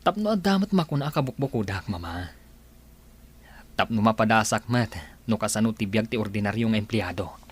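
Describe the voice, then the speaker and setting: Husky young rich man, acted by a man. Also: fairly low pitch, animated, brisk. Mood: eager, determined. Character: rich young son wanting his own money. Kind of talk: dramatised story dialogue.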